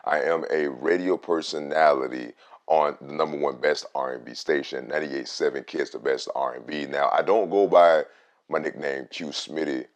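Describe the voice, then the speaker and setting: A man with a very deep, rich voice, a radio host telling a casual story about his own voice. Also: normal speed. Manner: animated, swings loud on emphasis.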